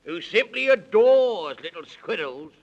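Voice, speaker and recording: An actor playing a pompous, genial walrus gentleman with a British accent, exclaiming in rhyme in a 1930s children's radio play. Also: sits high, sing-song, a little slow, dull audio, slight background noise, full character voice. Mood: jovial. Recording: vintage 1930s radio recording.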